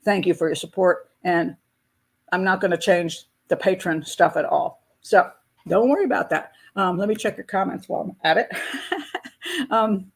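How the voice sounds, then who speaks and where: A woman's voice: lowish voice, normal speed, gravelly, slightly rough. A woman in her sixties hosting a casual live chat with her patrons, talking in a candid, chatty way. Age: 60s. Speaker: host of a crime-profiling channel.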